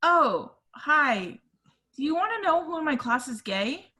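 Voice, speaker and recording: Bright, gushing schoolgirl played by a woman. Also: sits high, very animated, a little slow, heavily acted. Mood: eager, friendly. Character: bubbly, gushing seventeen-year-old schoolgirl. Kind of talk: table read acting.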